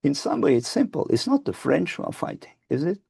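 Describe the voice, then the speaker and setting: A Finnish man in his sixties with a Finnish accent, answering interview questions in a measured, hesitant way, seated in a studio on a lapel mic. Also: a little high, sing-song, vocal fry, darkish tone, slightly rough, no echo. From Finland. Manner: measured, hesitant restarts, gestures with both hands. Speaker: guest, advocacy group founder. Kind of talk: webinar interview. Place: studio with dark backdrop, seated.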